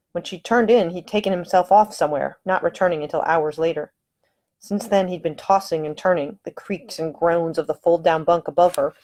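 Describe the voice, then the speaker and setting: A woman reading her own romance novel as scripted audiobook narration, with expressive, dramatic storytelling. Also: sits low.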